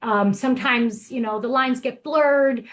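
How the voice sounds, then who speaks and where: A woman's voice: a little high, measured pace, a bit bright. A woman from Brazil giving a casual, chatty presentation as a guest speaker, talking with enthusiasm.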